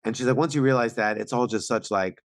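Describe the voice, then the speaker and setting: A man's voice: medium pitch, fast talker, no echo, studio quality. A man co-hosting a podcast in casual, informal conversation, with some swearing. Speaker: podcast co-host.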